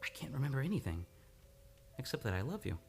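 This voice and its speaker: A man's voice: medium pitch, very animated, fast talker, vocal fry, a little mellow, a little hiss or hum. A man acting in an old-time radio drama as an earnest, anguished amnesiac on the run. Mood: anguished, confused. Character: troubled, lovelorn amnesiac man fleeing a murder charge. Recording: live radio play with music behind.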